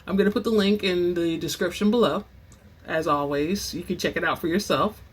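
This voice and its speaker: A narrator reading and presenting fiction in an expressive, theatrical storytelling manner as host of a reading channel. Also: mid-range voice, brisk, husky. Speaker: audiobook-style reader and channel host. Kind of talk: dramatic book narration; casual chatty sign-off to listeners.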